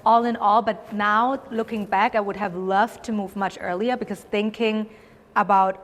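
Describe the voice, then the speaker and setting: A woman's voice: medium pitch, fairly even intonation, normal speed, a little hiss or hum. Woman, late twenties, from Thailand, podcast guest, casual chatty talk, echoing room. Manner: chatty, with many fillers and restarts. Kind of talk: casual conversation.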